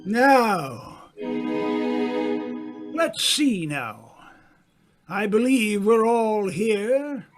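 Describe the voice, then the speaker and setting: A man playing a suave, knowing mystery writer in an old-time radio drama, speaking deliberately with dramatic pauses. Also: high voice, animated, music in the background, exaggerated voice. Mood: smug, genial. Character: suave, theatrical male mystery writer, a knowing dinner guest.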